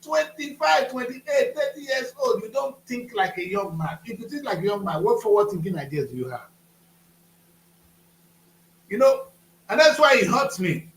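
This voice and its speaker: A man in his fifties hosts a talk show, firing emphatic rhetorical questions. His voice sits high. The studio sound is roomy and echoey. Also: expressive, moderate pace, long pauses. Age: 50s.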